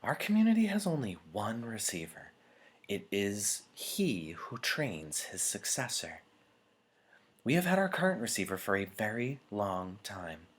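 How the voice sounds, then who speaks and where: Man voicing a stately female elder, pitched up. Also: huge pitch swings, husky, full character voice. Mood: solemn. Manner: formal, measured, solemn. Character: gracious, commanding female chief elder addressing a crowd.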